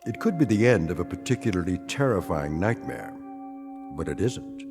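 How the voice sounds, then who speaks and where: An actor playing an ominous, measured narrator of eerie tales in a radio drama, deliberate and foreboding. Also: male voice, low voice, very animated. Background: prominent music.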